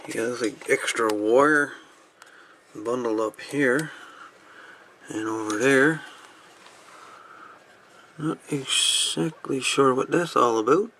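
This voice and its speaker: A man's voice: medium pitch, slow, long pauses, bright, forceful tone, slight background noise. A man hosting a casual hands-on review and repair video, talking in a folksy, colloquial way. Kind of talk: casual hands-on demonstration talk. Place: indoor workbench.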